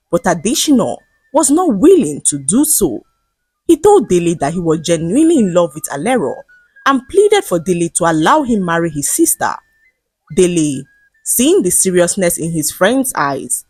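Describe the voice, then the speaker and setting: Lady telling a folktale, dramatic n measured, really swoopy pitch, bit husky, soft music behind. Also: no room sound. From Nigeria. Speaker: folktale storyteller. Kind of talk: scripted narration of a folktale.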